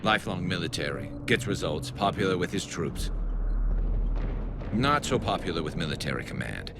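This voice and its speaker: A man playing a battle-hardened alien soldier in a video game, a loyal, dry and confident military advisor with a flanged, gravelly voice and clipped delivery. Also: sits low, very animated, normal speed, background noise, exaggerated voice. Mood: measured, confident. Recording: loud game soundtrack behind voice.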